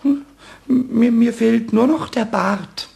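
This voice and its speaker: A man playing a small, cheeky, childlike kobold in a children's radio play, squeaky and stammering eagerly. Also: slow, mellow, heavily acted. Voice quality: squeaky, creaky cartoonish voice. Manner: stammering, repeats words eagerly.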